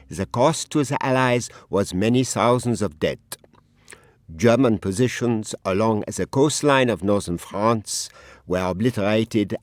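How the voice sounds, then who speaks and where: A man narrating a war game cutscene in a serious, deliberate documentary style. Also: fairly low pitch, dry recording, clean studio sound. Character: serious male narrator of a military briefing.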